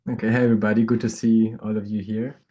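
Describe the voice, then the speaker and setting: Man from Greece raised in Germany, flat and hesitant; muffled video-call sound. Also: fairly low pitch. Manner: halting, hesitant with many fillers. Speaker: volunteer coordinator. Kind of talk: casual self-introduction. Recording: dull lo-fi call audio.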